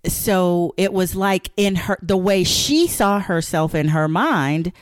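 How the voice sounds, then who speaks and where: A woman's voice: lowish voice, slow, dry recording, clean studio sound. A woman speaking in an earnest, explanatory way on a podcast, repeating points for emphasis. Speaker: guest, counselor. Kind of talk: podcast conversation.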